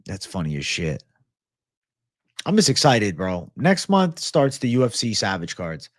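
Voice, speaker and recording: A young man talking casually on a livestream, his voice low and settled as he answers viewer messages. He is relaxed and slangy, calling everyone bro. The sound is close and dry, from a desk mic in a small home studio. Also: a little slow, no echo. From American. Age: young adult. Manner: laid-back, slangy, says bro a lot. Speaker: MMA livestream host. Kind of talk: casual livestream chat reading superchats. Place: home studio room with shelves. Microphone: desk microphone in front.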